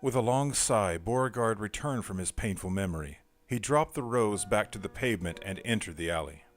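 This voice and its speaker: A man's voice: lowish voice, animated, brisk, soft background music. A man giving scripted, dramatic narration for a noir horror audio drama.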